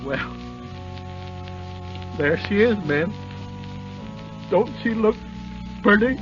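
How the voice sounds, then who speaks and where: An actor playing an earnest little dwarf who stammers tenderly, in a 1930s radio drama. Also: male voice, sits high, animated, drawn-out words, heavily acted. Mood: mournful. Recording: lo-fi 1930s radio broadcast with music.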